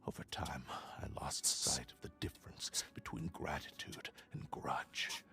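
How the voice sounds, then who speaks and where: A man voicing the remorseful spirit of a sentient cursed sword in a video game, speaking in a grave, measured, sorrowful way that turns whispery at times. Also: very deep voice, creaky, exaggerated voice. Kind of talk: game voice acting. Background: game music.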